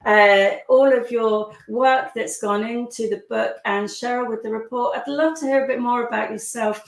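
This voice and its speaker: A woman hosts a webinar with a croaky, hoarse voice that turns gravelly. She talks in a chatty way, with lots of ums and uhs. The sound is roomy and echoing. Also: fairly high pitch. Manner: chatty, warm welcomes with frequent fillers. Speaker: webinar host. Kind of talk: friendly webinar hosting.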